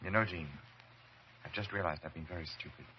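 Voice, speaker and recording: An actor playing an obsessed, murderous doctor in a theatrical old-time radio horror drama. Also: male voice, sits low, a little fast, lightly acted. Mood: quietly menacing. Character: obsessed doctor turned coldly murderous, later terrified. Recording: dull, noisy vintage radio recording.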